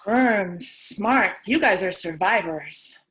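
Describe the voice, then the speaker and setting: A woman's voice: mid-range voice, expressive, takes it slowly, fairly bright tone, low-quality recording. A woman playing a lone, anxious apocalypse survivor in a theatrical stage monologue, wry and conversational. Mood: curious, tender.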